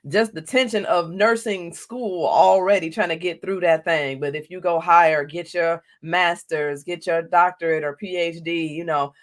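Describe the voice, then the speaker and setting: A woman hosts a podcast over a video call from home. Her voice sits low, and she backs her guest with emphatic, affirming remarks. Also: steady tone, moderate pace, hardly any pauses. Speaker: podcast host, nursing coach. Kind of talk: conversational podcast interview. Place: home room, indoors.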